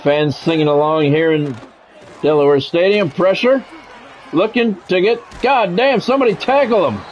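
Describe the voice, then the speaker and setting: A man's voice: high-pitched, moderate pace, lo-fi sound, some chatter behind, slight background noise. A man doing play-by-play of a football video game on a live stream, mixing in plain-spoken, grumbling chat. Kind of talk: sports play-by-play commentary mixed with casual stream chat.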